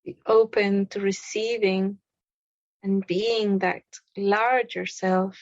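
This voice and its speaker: Female meditation guide. Unhurried, gentle phrasing with long pauses; dry, slightly dull lo-fi recording. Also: medium pitch, steady tone, no room sound. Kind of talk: guided meditation, spoken slowly.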